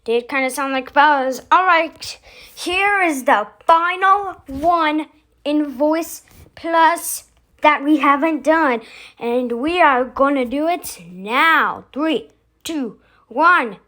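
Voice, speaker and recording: A high-pitched voice hosts a casual vlog at an unhurried, slow pace. The speaker rambles along, thinking aloud between one remark and the next. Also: bright, forceful tone. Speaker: video host.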